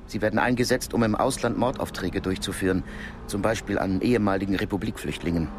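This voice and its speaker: Low-voiced, discreet intelligence man played by an actor. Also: quick pace, background noise, natural voice. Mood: grave, explanatory. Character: discreet male Cold War intelligence contact, calm and knowing. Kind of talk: naturalistic German radio drama acting.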